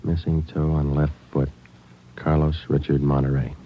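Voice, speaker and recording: An actor playing a stern, terse police detective sergeant who also narrates, in a clipped, matter-of-fact old radio crime drama style. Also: male voice, very deep voice, little pitch movement, moderate pace. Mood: grave narration. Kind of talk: 1940s-50s radio crime drama acting. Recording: dull vintage radio recording, loud music behind.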